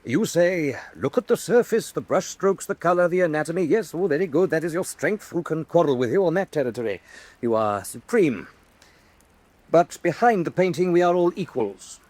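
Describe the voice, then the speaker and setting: Formal male tribunal questioner played by an actor, period radio drama; higher-pitched, very animated, insistent and articulate. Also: normal speed, natural voice. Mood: persuasive, insistent. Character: probing, formal male interrogator on state tribunal.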